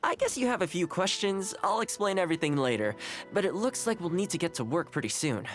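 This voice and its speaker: Courteous young male game character: shrewd, articulate adviser, expressive delivery over background music. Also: sits high. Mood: earnest. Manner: polite, articulate, measured. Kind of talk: scripted game character dialogue. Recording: music behind the voice.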